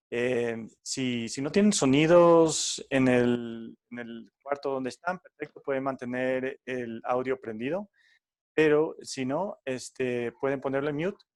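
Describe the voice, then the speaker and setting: A man from Ecuador giving friendly but halting logistics instructions on a video call, with many fillers. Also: mid-range voice. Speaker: tech support and co-host.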